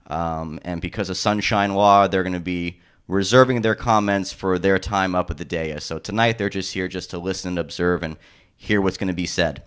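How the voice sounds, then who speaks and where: Male city planner, very low pitch, formal meeting welcome with filler-heavy delivery. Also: moderate pace, clear, resonant tone. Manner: steady, formal, with frequent "uh" and "um". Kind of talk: public meeting welcome remarks. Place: public meeting room.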